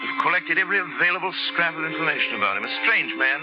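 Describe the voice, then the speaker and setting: An actor playing an obsessed, excitable scientist in 1940s radio horror drama, speaking with intense, theatrical urgency. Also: male voice, on the high side, quick pace, close to an everyday voice. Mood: brooding, clinical. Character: obsessed, driven male scientist, excitable and unstable. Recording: muffled vintage 1940s radio broadcast with music.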